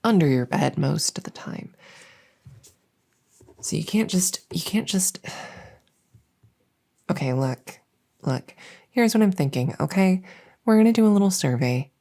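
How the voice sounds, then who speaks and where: A woman playing a needy, exasperated but harmless female monster under the bed, rambling and stammering softly, often in whispers, in an ASMR audio roleplay. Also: fairly low pitch, animated, unhurried, gravelly, pauses often, exaggerated voice. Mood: insistent. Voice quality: soft, often breaking into whispers. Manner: rambling, stammering, pleading, silly.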